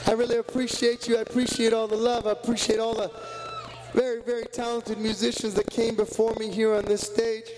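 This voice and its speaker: A man speaking earnestly and tenderly from a stage as a musician, in a slightly rough voice with repetitive, heartfelt phrasing. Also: very high voice, little pitch movement, brisk, background noise. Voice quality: a little rough. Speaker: singer-musician addressing audience between songs. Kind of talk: heartfelt stage talk before a song. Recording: live stage mic over loud guitar music. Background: plucked guitar playing.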